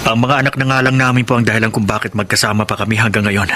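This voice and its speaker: An actor playing a troubled but respectful married contractor in a Filipino radio drama. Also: male voice, fairly low pitch, fairly even intonation, racing, bright, forceful tone, slight background noise. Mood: troubled, resigned.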